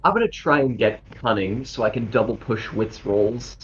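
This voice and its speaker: A man's voice: on the low side, lively intonation, moderate pace, a bit bright. Casual man on dull lo-fi audio. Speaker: player in a tabletop roleplaying game. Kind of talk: casual chat.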